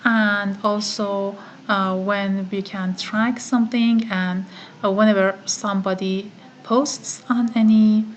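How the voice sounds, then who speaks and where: A woman whose first language is Farsi, giving a step-by-step online course tutorial, talking methodically and pausing on "uh" often. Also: medium pitch, fairly even intonation, dull audio. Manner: slow, methodical, with frequent "uh" pauses. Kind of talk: screen-recorded software tutorial.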